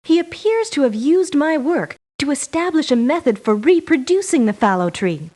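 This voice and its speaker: An actress playing an earnest, polite woman scientist in a game, giving formal, measured briefings. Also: high voice, big pitch swings, a little mellow. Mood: concerned, serious. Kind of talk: scripted game voice acting, briefing.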